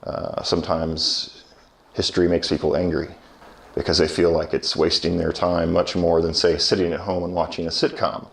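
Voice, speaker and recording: Male history teacher, low voice, thoughtful, unhurried delivery with pauses. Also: little pitch movement, gravelly. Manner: measured and reflective, with many pauses and restarts. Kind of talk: solo talk to camera.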